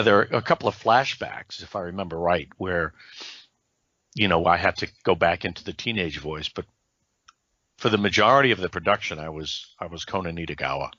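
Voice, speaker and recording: A man's voice: low voice, a bit bright, dry recording, lo-fi sound. A man being interviewed as a voice actor on a podcast, talking at length in an explanatory way and often checking with "right?". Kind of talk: podcast interview, recounting career.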